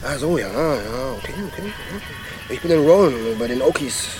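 A man's voice: medium pitch, expressive, normal speed, over music, lightly acted. An actor playing a chatty, jittery small-time ex-convict in his twenties who nervously repeats himself in a crime radio play. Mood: jittery, chummy. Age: 20s.

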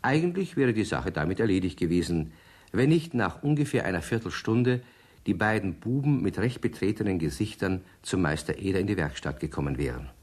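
Male storyteller, children's radio play, low husky voice, expressive, wry delivery. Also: a little fast. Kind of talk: scripted narration.

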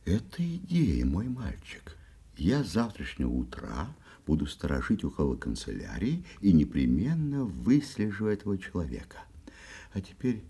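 A man's voice: low voice, huge pitch swings, moderate pace, warm tone, breathy edge, exaggerated voice. An actor playing an earnest, indignant forester and father in a fairy-tale audio play, theatrical and emphatic. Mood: resolute, watchful.

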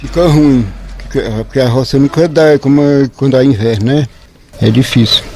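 Mellow rural Cearense man, plain-spoken. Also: mid-range voice, steady tone, brisk, slight background noise. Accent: rural Northeastern Brazilian, Ceará. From rural Ceará, Brazil. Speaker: interviewee, flour-house worker. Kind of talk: informal spoken explanation.

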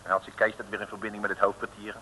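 An actor playing a surly, stubborn small-time crook in an old radio serial, gruff and insolent. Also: male voice, fairly low pitch, monotone, racing, sharp tone. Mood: grudging confession. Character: surly, defiant small-time male crook under interrogation. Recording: noisy old radio drama recording.

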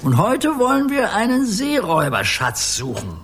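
A man's voice: sits high, huge pitch swings, moderate pace, a bit bright, slightly rough, full character voice. An actor playing an old grandfather sea captain in a children's radio play, teasing and dry in his banter. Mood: playful. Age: older.

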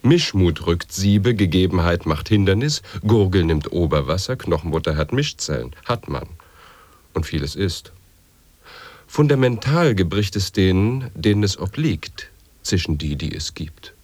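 A man acting a brooding speaker of absurd word puzzles in an avant-garde radio play, with deliberate, weighty delivery. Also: sits low, lively intonation, darkish tone, no room sound. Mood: deliberate, declamatory. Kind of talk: avant-garde radio play monologue of sound poetry and wordplay.

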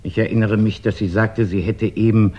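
A man acting a discreet private detective in a vintage radio crime play. His voice is very low and soft-edged, a little husky, with formal, matter-of-fact phrasing. The old recording sounds muffled. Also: level tone, lightly acted. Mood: businesslike. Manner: formal, deliberate, matter-of-fact with hesitant pauses. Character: businesslike male English private detective, measured and discreet. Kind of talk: 1960s German radio crime drama acting.

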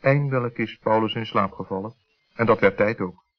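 A man narrating a scripted children's story as an expressive storyteller. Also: lowish voice, moderate pace. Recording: dull 1960s radio recording.

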